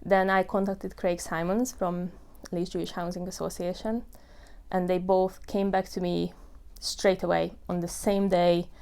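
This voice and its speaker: Woman, thirties, lowish smooth voice, earnest testimony, leans on "you know" and "uh". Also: flat delivery, measured pace, a little mellow. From Hungary. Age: 30s. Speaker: tenant telling her story. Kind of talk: personal testimony.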